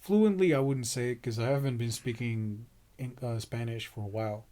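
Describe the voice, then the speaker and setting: A young man whose first language is Portuguese, chatting casually as a podcast host on a boom-arm studio mic in a small home room, slangy and laid-back. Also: normal speed, mellow. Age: young adult. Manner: laid-back, slangy, says "you know what I mean" often. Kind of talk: casual chat.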